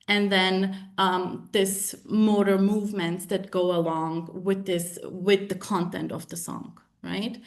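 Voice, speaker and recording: A woman from the Republic, presenting research at a lab meeting, hesitant with many fillers and restarts. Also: medium pitch. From Czech Republic. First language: Czech. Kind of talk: academic presentation with Q&A.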